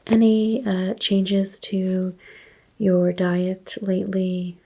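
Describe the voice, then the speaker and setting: A soft-spoken woman doing an ASMR doctor roleplay, asking unhurried exam questions and slipping into whispers. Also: mid-range voice, level tone.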